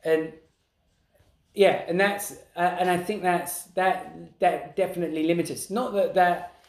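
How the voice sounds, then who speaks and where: A man co-hosting a podcast, talking in a casual, animated way and acting out the voices he quotes. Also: sits high, unhurried. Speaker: podcast co-host. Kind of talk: casual conversational chat. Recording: roomy echo.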